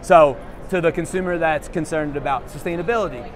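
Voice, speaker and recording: A man in his thirties explains building products in an upbeat sales voice, pitched a bit high for a man. He sounds polished and eager. A crowded convention hall hums around him. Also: fairly quick. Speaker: manufacturer rep. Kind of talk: product walkthrough at a trade show. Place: large indoor trade show hall. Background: crowd chatter.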